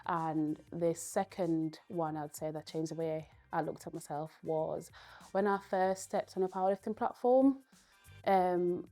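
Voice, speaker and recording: A young woman, a Spanish speaker from Spain, giving earnest, thoughtful interview answers and pausing often to gather her words. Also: on the low side, steady tone, dark tone, faint music behind. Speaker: guest, powerlifter.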